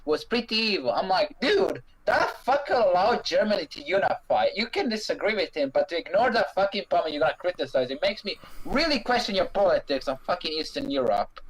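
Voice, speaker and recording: A man's voice: sits high, sing-song, fairly quick, few pauses, low-quality recording. A man, arguing bluntly and profanely as a debate guest over an online call. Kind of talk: heated casual debate.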